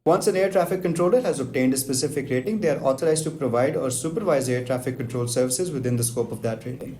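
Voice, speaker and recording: Brisk man reading aviation rules. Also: medium pitch, a little hiss or hum. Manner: brisk, even, list-like reading of technical terms. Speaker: aviation explainer host. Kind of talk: scripted educational narration, just-a-minute format.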